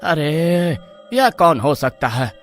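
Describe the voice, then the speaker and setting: A man's voice: a little high, very animated, slow, faint music behind. A man playing an eager, trusting young husband from a village in a dramatised horror story, earnest and exclaiming. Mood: puzzled, worried.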